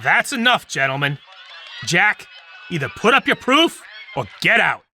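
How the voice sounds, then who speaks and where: Man acting a sharp, forceful gambling boss. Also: high voice, very animated, measured pace, a little hiss or hum, full character voice. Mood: stern. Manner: commanding. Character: stern gangster boss running the card game. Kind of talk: gangster radio drama acting.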